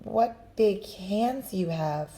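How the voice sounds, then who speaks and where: A woman's voice: mid-range voice, expressive, drawn-out words, dark tone, pitched above the performer's own voice, poor audio. A woman doing a hesitant little girl. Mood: wondering. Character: young girl, curious and uneasy.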